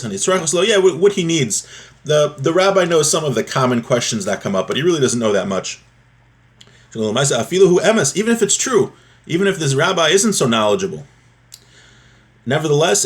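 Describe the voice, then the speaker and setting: A man teaching a religious text class in an informal, explanatory way, with a halting, repetitive delivery. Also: medium pitch. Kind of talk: spoken lesson, reading Hebrew text and explaining it in English.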